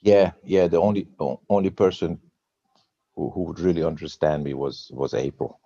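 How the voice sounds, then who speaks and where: A man in his fifties with a Persian accent, telling his personal story as a guest on a webinar, halting and repeating words with a slight stutter. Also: sits low, steady tone, mellow, slightly rough, no echo, lo-fi sound. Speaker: guest trader. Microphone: video call.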